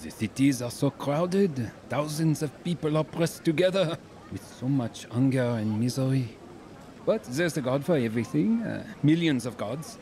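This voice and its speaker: Man acting young musician, mellow tone, earnest, thoughtful, radio drama. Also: medium pitch, expressive, moderate pace, close to an everyday voice. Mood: weary, searching. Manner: thoughtful, sprinkles French words. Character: earnest, searching young French musician, soft-hearted and thoughtful.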